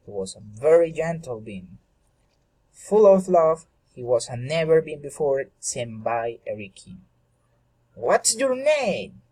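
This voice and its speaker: A man tells a fairy tale in a dramatic style. His phrasing is halting and deliberate, stumbling at times. The voice is mellow, husky and unhurried. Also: mid-range voice, lively intonation, rough recording.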